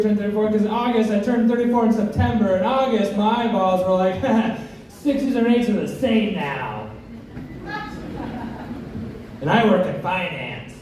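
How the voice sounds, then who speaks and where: A man in his thirties doing a crude, self-deprecating stand-up set. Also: high-pitched. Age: 30s. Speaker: stand-up comic. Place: comedy club stage. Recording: echoey club from far off, noisy.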